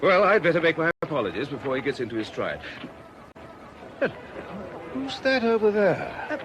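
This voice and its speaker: Measured British priest, lively intonation. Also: male voice, on the high side. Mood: mild, rueful. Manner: measured, polite, authoritative. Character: measured, firm, courteous Anglican priest. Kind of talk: British radio drama acting. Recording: noisy old radio drama recording.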